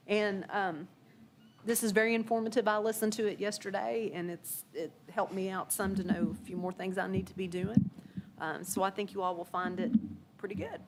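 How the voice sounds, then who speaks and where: A woman's voice: mid-range voice, a little hiss or hum. A woman on city staff giving an informal report at a board meeting, hesitant with many fillers and restarts, her voice a bit worn. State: losing her voice. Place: council meeting room.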